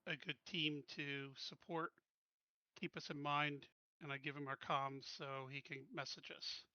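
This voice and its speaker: A man's voice: on the high side, little pitch movement, a little slow, sharp tone, no room sound, muffled recording. A man chatting casually as a player in a tabletop game.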